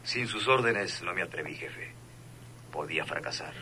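Cautious yes-boss henchman, super deep voice, bit of radio hiss. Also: lively intonation, normal speed, bright, forceful tone, heavily acted. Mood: deferential, cautious. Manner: deferential. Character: obedient, cautious male gangster henchman. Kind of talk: radionovela acting.

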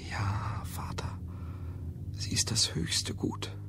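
An actor playing an anguished, devout man under interrogation in a radio drama, speaking in a halting, solemn whisper. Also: on the low side, expressive, creaky, heavily acted. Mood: pained, earnest. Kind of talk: literary radio drama acting.

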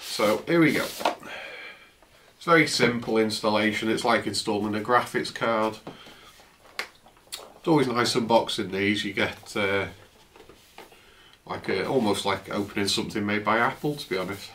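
A man in his fifties, croaky from a flu, chatting casually through an unboxing in his home office, recorded on a camera's built-in mic. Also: lowish voice, moderate pace, pauses often, a little hiss or hum. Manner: relaxed, chatty, trails off. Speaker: tech vlogger. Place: home office, indoors, seated at a desk.

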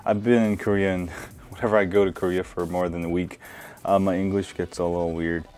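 A man hosting a casual hands-on phone review, relaxed and full of filler words, recorded indoors at a desk. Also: deep voice, a little fast. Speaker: tech video host.

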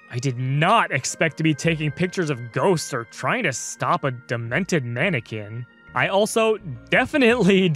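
A man from the United States hosts a scripted video essay on games. His voice sits a little high for a man, and he narrates like an enthusiastic, conversational storyteller. Soft music plays behind him. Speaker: video game reviewer and host.

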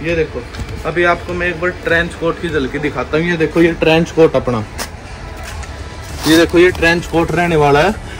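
A young man with a slightly raised voice rattles off a pushy, repetitive sales patter. He is filmed on a handheld phone inside a large clothing warehouse. Music plays clearly behind him. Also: a little high, steady tone, moderate pace. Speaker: wholesale clothing seller. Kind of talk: sales pitch video.

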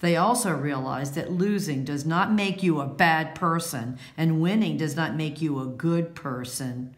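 A woman reading a children's picture book aloud, instructive and deliberate, adding short comments. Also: low-pitched, echoey room. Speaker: read-aloud storyteller.

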